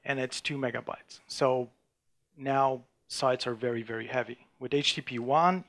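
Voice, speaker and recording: A man from Bulgaria, giving a technical conference talk with a live demo and talking in a halting way, with many restarts and fillers. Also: mid-range voice, steady tone, a bit bright. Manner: halting, frequent restarts and fillers while demoing. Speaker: conference speaker. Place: conference room before an audience.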